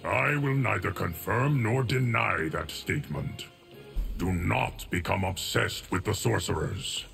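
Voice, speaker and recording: An actor playing an ancient, stoic immortal guardian of time in a fighting game, speaking in formal, measured, portentous lines. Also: male voice, low voice, gravelly, fairly bright tone, full character voice. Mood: grave. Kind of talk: fighting game character dialogue. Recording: long reverb, music behind.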